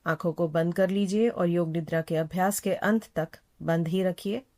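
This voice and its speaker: A woman with a slightly low voice guides a relaxation practice as a yoga nidra instructor. She speaks calmly and without hurry, in a soothing way. Instructions come in short, repeated phrases. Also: normal speed. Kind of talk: slow guided meditation instruction.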